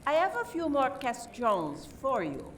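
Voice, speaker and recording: A woman playing a suspicious French police inspector in an amateur radio play, with a dramatic, deliberate, accusatory delivery. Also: sits high, huge pitch swings, far from the mic, full character voice. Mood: stern, dramatic.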